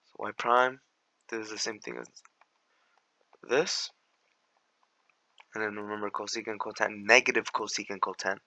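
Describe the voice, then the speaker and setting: A young man with a raspy voice, walking through calculus problems in a slangy, laid-back way and sometimes mumbling steps under his breath. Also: fairly low pitch, moderate pace, long pauses, sharp tone, dry recording, low-quality recording. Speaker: math tutor. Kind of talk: casual tutoring walkthrough.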